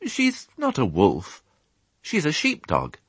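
A man's voice: on the low side, big pitch swings, moderate pace, slightly rough, no room sound, pitched above the performer's own voice. A man voicing a very courteous, earnest young piglet in an audiobook. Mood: hurt. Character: polite, earnest, eager young male piglet. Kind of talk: audiobook character voice.

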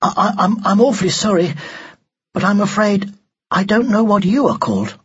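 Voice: medium pitch, wildly swooping pitch, slow pace, breathy edge, dull audio, pitched above the performer's own voice, heavily acted. A storyteller voicing a nervous, polite boy of about eight who speaks hesitantly and stammers. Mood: flustered, polite.

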